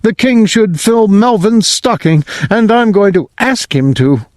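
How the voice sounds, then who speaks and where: A man's voice: high-pitched, animated, measured pace, a little mellow, no echo, studio quality, pitched above the performer's own voice. Stern peasant dad, firm and a touch raised, man voicing him. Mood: resolute. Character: gruff proud poor peasant father.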